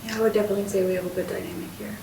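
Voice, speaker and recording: A woman's voice: medium pitch, little pitch movement, rapid-fire. A woman student from Texas asking eager questions in a casual podcast chat. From Houston, Texas. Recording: echoey room, distant mic, clear hiss.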